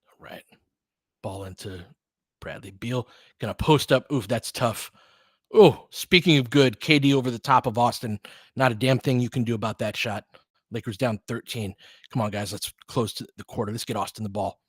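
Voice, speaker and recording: A man's voice: mid-range voice, normal speed, slightly rough, no room sound, clean studio sound. A man calling live basketball play-by-play with casual analysis, informal and openly rooting for his team like a fan. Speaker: play-by-play commentator and host.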